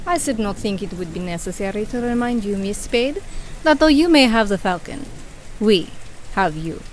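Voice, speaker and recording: A woman's voice: mid-range voice, lively intonation, moderate pace, slight background noise, natural voice. A woman playing a fussy, polite female criminal in a radio play, prim and formal. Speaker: actor in community audio drama.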